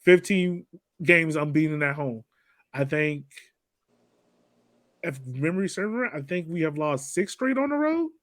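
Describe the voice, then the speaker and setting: A man's voice: on the high side, lively intonation, measured pace, pauses often, a bit bright, no room sound. A man chatting as a podcast panelist about a soccer game, conceding points and then reasoning out his own view. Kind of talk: casual post-game sports discussion.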